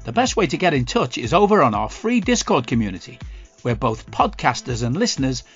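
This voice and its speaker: Man, announcer, scripted outro, animated delivery, faint music behind. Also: medium pitch, fairly quick. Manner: upbeat promotional read. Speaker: network announcer.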